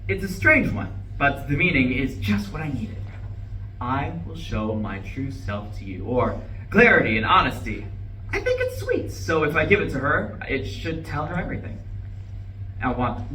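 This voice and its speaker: Man acting a nervous rambling husband, animated. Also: mid-range voice. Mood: anxious, hopeful. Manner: rambling, hesitant, self-correcting. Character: nervous, rambling, self-justifying husband riding home with flowers. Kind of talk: live play reading, dramatic monologue. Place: room at a live play reading. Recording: echoey distant live room recording, faint noise.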